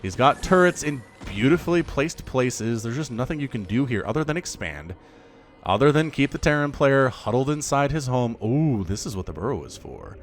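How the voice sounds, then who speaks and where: A man in his thirties giving solo play-by-play commentary on a strategy game match, chatty and excitable with jokey asides. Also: mid-range voice, moderate pace. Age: 30s. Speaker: game caster.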